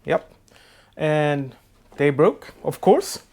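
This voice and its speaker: Slow, halting Swedish-accented man. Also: fairly high pitch, sing-song, background noise. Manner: halting, many filler uhs and restarts. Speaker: boat restoration vlogger. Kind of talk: casual how-to narration. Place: makeshift boat workshop.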